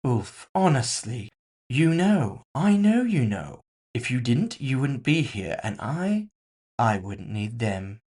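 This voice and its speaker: A man's voice: mid-range voice, big pitch swings, slow pace, a little mellow, slightly rough, pitched above the performer's own voice, exaggerated voice. A man voicing a composed, villainous woman executive in audio fiction, casually polite, mocking and condescending. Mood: smug, controlled. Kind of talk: audio fiction character dialogue.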